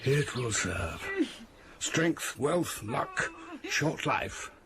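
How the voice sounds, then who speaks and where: A man's voice: medium pitch, big pitch swings, very slow, over a noisy crowd. A man playing a proud, commanding ancient Greek hero in a historical audio drama, declaiming with hunger for fame. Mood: solemn, commanding.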